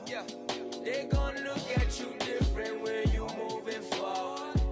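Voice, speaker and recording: A man's voice: very high-pitched, unhurried. A man with an American accent rapping hip hop vocals. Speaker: rapper. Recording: produced track with loud hip hop beat. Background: loud hip hop music.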